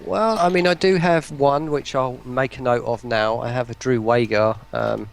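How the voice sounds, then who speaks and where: Gravelly man, casual chat. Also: medium pitch, steady tone, moderate pace. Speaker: interview guest.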